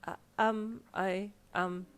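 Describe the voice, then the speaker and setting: A woman's voice: lowish voice, brisk, natural voice. A woman voicing a meek but cheerful young male athlete with an eager, bashful, easily flustered manner. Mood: meek, hesitant.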